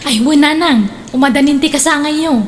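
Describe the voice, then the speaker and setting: Cheerful adult daughter in a radio drama, high and teasing, voiced by a woman. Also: a little fast, slight background noise, close to an everyday voice. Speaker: grown daughter. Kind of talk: Ilocano radio drama acting.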